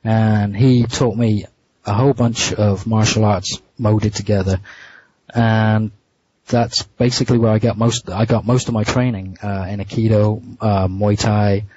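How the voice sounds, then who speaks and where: A man being interviewed, telling a story in a casual, halting way with frequent restarts and repeated words. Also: lowish voice, steady tone, darkish tone, husky. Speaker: interview guest. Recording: muffled dry lo-fi line.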